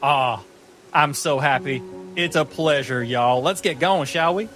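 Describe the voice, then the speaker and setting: A tiny adventurer played by a man speaks with eager courtesy and earnest self-importance. He is boyishly polite and proud of himself, a tabletop game figure with soft music underneath. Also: on the high side, unhurried. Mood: courteous, cheerful.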